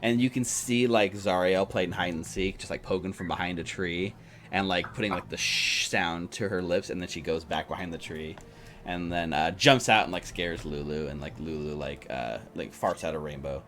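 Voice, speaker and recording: A man's voice: deep voice, moderate pace, a bit bright. A man narrating a tabletop game as game master in a casual, improvised, rambling way. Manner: rambling, peppered with "like" and "you know".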